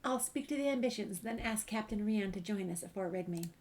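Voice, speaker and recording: A woman's voice: mid-range voice, expressive, fairly quick, a little mellow. A woman playing a video game and talking casually, reading dialogue choices aloud. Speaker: game player and streamer. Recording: clear background noise, clicks.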